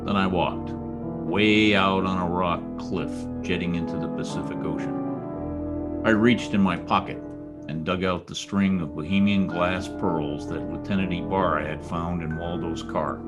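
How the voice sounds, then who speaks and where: Radio noir gumshoe, played by a man: deep voice, world-weary, wry, clipped lines, music underneath. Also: steady tone, measured pace. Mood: wry, brooding. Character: hard-boiled private detective, world-weary, quietly decent. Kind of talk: old-time radio noir acting and narration.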